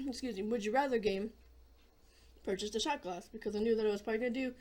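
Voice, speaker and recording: Hoarse chatty woman, slightly high voice. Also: fast talker, a little mellow. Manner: chatty, rambling, frequent throat clearing. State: hoarse, clearing throat and coughing. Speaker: gaming channel host. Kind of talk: casual rambling gaming commentary.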